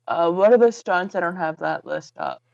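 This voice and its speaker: A man's voice: sits high, normal speed, vocal fry, a little mellow, no echo. A man chatting casually as a tabletop game player, heard through a dull, muffled lo-fi microphone.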